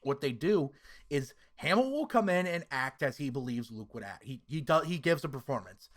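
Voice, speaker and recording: A man's voice: mid-range voice, animated, moderate pace. A man hosting a podcast, giving opinionated casual reviews in an emphatic, sweary way. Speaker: podcast host.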